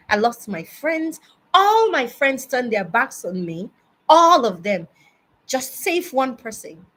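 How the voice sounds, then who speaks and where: A Nigerian-accented woman in her early forties giving a personal advice monologue, emphatic and deliberate, repeating words for stress. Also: a little high, a bit bright, slightly rough, poor audio. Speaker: speaker addressing parents.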